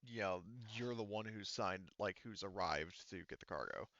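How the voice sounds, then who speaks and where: A man speaking as game master, improvising tabletop game narration in a casual, halting, rambling way and often hedging. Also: deep voice, sharp tone, no echo, lo-fi sound.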